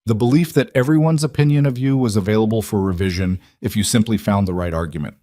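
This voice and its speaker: A man reading a dramatic western story as scripted narration, measured and deliberate in his delivery. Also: lowish voice, dark tone, dry recording. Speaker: audiobook-style story narrator.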